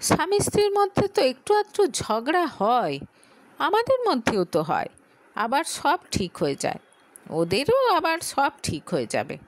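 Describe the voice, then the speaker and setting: A woman's voice: high-pitched, sing-song, unhurried, pitched above the performer's own voice, full character voice, poor audio. A woman voicing a scheming young married sister-in-law who sweet-talks with feigned concern in an audio story. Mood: coaxing.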